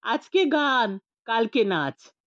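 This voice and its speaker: Woman storyteller doing an indignant, very animated blind man. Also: on the high side, slow, no room sound, muffled recording, full character voice.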